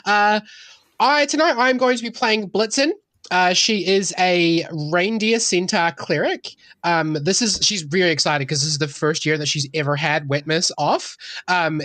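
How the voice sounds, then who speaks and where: A woman's voice: fairly low pitch, a bit bright, no echo, clean studio sound. A woman chatting casually and jokingly as a guest player introducing herself on a podcast. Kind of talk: casual chat, self-introduction.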